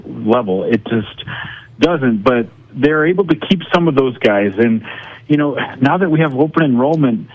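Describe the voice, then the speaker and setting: A man's voice: mid-range voice, dry recording, muffled recording. An American man giving casual sports analysis as a guest broadcaster on a radio show.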